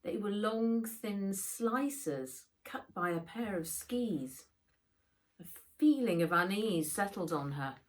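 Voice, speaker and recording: A woman narrates a children's audiobook. She takes her time over each phrase, and her pitch moves in a lively, expressive way. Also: slow. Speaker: author reading own book.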